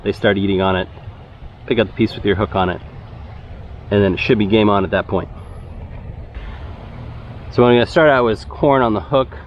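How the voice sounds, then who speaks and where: Young man, Texan accent, lowish voice, relaxed how-to chat, outdoor action-cam sound, dull audio, faint traffic behind. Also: a little fast, long pauses. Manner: relaxed, explanatory, gets excited when a fish bites. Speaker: fishing channel host. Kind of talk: casual fishing vlog narration. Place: outdoors by a concrete-lined urban bayou. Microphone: head-mounted action camera.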